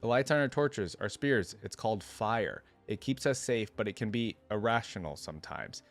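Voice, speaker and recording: A man's voice: lowish voice, animated, normal speed. A man reading aloud the short, emphatic lines of a helpful village elder from a story. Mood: explaining.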